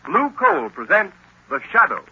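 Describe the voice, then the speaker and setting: A man's voice: high-pitched, huge pitch swings, a little slow, sharp tone, breathy edge, dull audio. A man announcing in emphatic, declamatory 1930s radio style. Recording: band-limited vintage radio.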